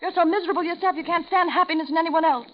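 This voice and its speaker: A scheming, high-voiced young wife. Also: female voice, very fast. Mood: defiant. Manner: coy, breathless stammers turning sharp. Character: scheming young wife, coy then defiant. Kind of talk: 1940s radio acting. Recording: dull old radio recording with faint hiss.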